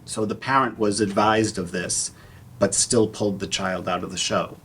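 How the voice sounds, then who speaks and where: A man speaking as a theater panelist, sharing personal anecdotes in a halting way, often repeating words. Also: on the low side, fairly even intonation, a bit bright. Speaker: panelist, community theater actor. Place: public panel event, live audience. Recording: clear background room noise.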